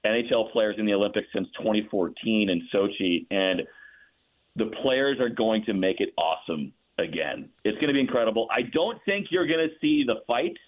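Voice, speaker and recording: A man's voice: on the low side, moderate pace. A man talking sports analysis in an enthusiastic, conversational way, as a guest broadcaster. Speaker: radio play-by-play broadcaster as guest. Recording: narrow muffled phone-like line.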